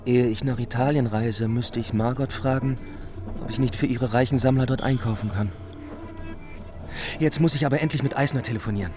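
An actor in a German radio play playing an earnest, unsettled young student who speaks pensively, as if thinking aloud. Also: male voice, medium pitch, a little fast, music in the background, natural voice. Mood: resolving.

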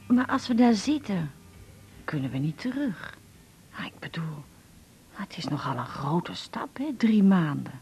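A woman playing a cautious older woman in a Dutch radio drama, doubtful and questioning, with a husky, breathy voice. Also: on the low side, sing-song, a little slow, darkish tone, soft background music, full character voice. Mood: hesitant. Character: hesitant, cautious older woman weighing a proposal.

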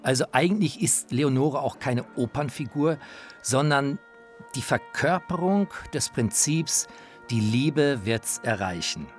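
A man with a lively, swinging delivery presents a radio feature on opera. He speaks personally and reflectively, stressing key words, while music sounds clearly behind him. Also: medium pitch, measured pace. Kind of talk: personal spoken commentary on opera.

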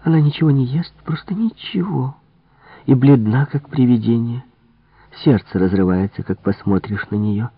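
A man voicing a chatty, indignant young French housemaid who gossips with animated exclamations. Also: mid-range voice, moderate pace, slightly rough, dry recording, voice pushed up. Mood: pitying. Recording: muffled, phone-like narrow-band audio.

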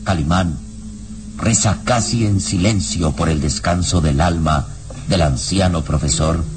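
A man narrating a scripted radio serial in a grave, solemn, deliberate dramatic style. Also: sits low. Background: dramatic background music.

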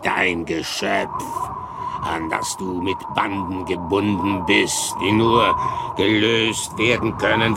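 An actor playing a huge, wretched man-made creature in a radio play, halting and surging between pleading and menace, with a breathy rasp. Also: on the low side, heavy background noise. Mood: accusing, menacing. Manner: halting, heavy delivery with sudden surges. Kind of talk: dramatic radio play acting.

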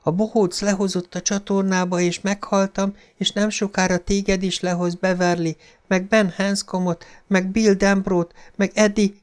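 A man's voice: high voice, level tone, clean tone, pitched above the performer's own voice, close to an everyday voice. A man voicing the muffled, whispering voice of dead children rising from a sink drain, taunting and gloating. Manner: gloating, taunting, ancient-sounding. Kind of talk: audiobook character voice.

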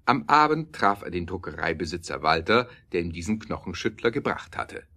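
A male narrator with a low, deep voice tells a scripted story. His phrasing is measured and literary, with clear emphasis on key words. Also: expressive, pushed and bright.

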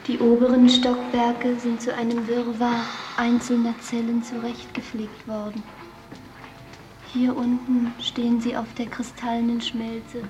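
An actress playing a ceremonious guide in a science fiction radio play, speaking in a formal, measured tour-guide manner. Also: female voice, on the high side, monotone, lightly acted, low-quality recording. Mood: solemn, formal. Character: ceremonious female guide of a sci-fi pleasure house. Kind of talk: scripted radio drama acting. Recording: music behind the voice.

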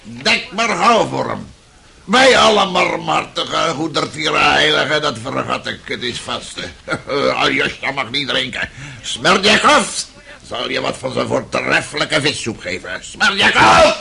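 A boisterous, mocking old man in a radio drama. His voice is fairly high for a man and piercingly sharp, with a creaky gravel to it. He sounds drunken and coarse, full of jeers and interjections. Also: expressive, measured pace, slight background noise, heavily acted. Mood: jeering. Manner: chuckling, jeering, peppered with interjections.

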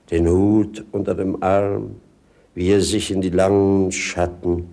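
An actor playing a witty, earthy vagabond companion in 1950s radio drama, declaiming with theatrical mock grandeur. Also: male voice, fairly low pitch, gravelly, warm tone, exaggerated voice.

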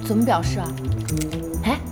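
A woman's voice: medium pitch, moderate pace, natural voice. A woman acting a spirited young gamer's friend in an audio drama, bantering and easily amused. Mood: amused, teasing. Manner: giggly, bantering. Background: music.

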